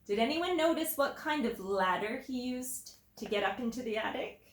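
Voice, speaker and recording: A woman teacher reading a picture book aloud to children, explaining simply and deliberately and putting questions to her listeners. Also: fairly high pitch, lively intonation. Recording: roomy echo, distant microphone.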